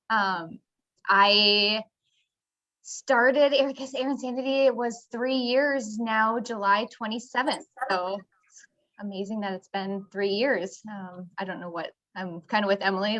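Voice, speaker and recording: A woman business owner talks about her background in a chatty way, filling pauses with "um". The call audio is a bit dull and muffled. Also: a little high, bright, forceful tone. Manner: chatty, many fillers. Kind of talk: panel self-introduction.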